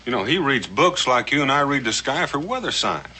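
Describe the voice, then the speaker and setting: An easygoing American frontier father in a TV western. His mid-pitched voice moves in lively swings, over a clearly noisy old soundtrack. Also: brisk. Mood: amused, easygoing. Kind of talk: 1960s television western acting.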